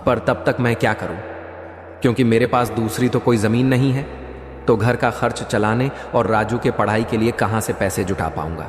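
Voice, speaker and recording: A man playing an earnest, worried farmer in a cartoon moral story, speaking in a deliberate, explanatory way. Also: mid-range voice, lightly acted. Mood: worried, pondering. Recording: echoey, long reverb.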